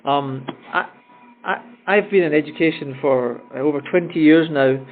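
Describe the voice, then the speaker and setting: A middle-aged Scottish man speaking in a fairly high voice over a thin, phone-like line. He talks in a friendly, rambling way, restarting his sentences as he answers a panel question. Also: moderate pace. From Scotland. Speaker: private school principal. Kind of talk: panel answer on a call-in town hall. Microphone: telephone.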